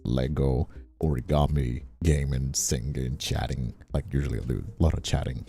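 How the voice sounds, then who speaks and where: Male streamer from Brazil, Portuguese native, very dark husky tone, chatty and casual, soft music behind. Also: a bass voice, steady tone, moderate pace. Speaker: streamer and voice actor, hosting. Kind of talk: casual livestream chat.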